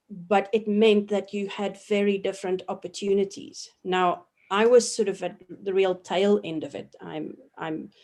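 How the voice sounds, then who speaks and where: A woman's voice: medium pitch, a little mellow. Reflective South African woman academic. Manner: reflective, measured, with frequent ums and restarts. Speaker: professor, interview guest. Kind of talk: thoughtful academic interview answers.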